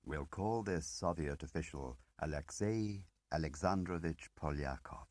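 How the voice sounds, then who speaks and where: A man plays an ageing spymaster in an audiobook, with a low voice. He is measured and quietly relentless, laying things out in deliberate phrases and probing questions. The sound is very dry. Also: no room sound. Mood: measured, explaining. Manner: deliberate, pausing interrogator with rhetorical questions. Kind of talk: dramatic audiobook character reading.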